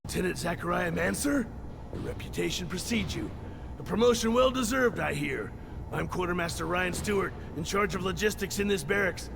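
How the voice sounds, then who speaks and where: A man's voice: high-pitched, normal speed. An actor playing an eager, courteous army quartermaster in a video game, formal and salesman-like. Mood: welcoming, eager. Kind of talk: video game dialogue acting. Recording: clear background noise and ambience.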